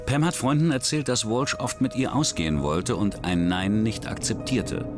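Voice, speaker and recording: A man narrating a true crime documentary in a measured, dramatic storytelling manner. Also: fairly low pitch. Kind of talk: scripted narration. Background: music.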